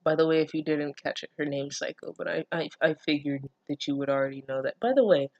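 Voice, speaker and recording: A woman's voice: low voice, quick pace, vocal fry, a little mellow, no room sound, dull audio. A woman reading and commenting on fan fiction in a casual, comedic way, sweary, sardonic and exasperated, stumbling over her words. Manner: breaks into laughter and stammers.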